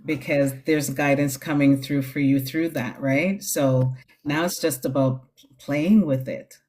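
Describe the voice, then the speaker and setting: Low-voiced woman hosting a live stream. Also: steady tone, measured pace, a little mellow. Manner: reassuring, affirming, chatty. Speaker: live-stream host giving spiritual readings. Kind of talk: casual live-stream chat. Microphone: phone on a live stream.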